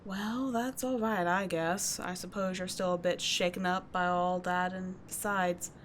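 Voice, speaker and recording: A woman playing a tough but kind teenage delinquent schoolgirl in an audio roleplay, talking casually and bluntly to the listener, with swearing and halting, confiding asides. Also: lowish voice, fairly even intonation, slight background noise, natural voice. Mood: wry, probing.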